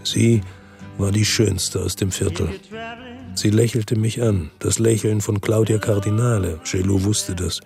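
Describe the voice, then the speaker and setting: An actor playing a world-weary former policeman who narrates his story calmly and reflectively in a radio drama. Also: fairly low pitch, unhurried, gravelly, soft background music. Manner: calm, reflective first-person narration. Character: world-weary ex-cop, middle-aged man, lives by the sea.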